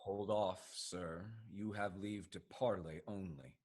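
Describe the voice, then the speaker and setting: An actor playing a bold, amorous young English rover captain in a classical stage comedy, with a slightly husky voice and a theatrical swagger. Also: male voice, low voice, steady tone, slow, a little mellow. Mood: bold gallant.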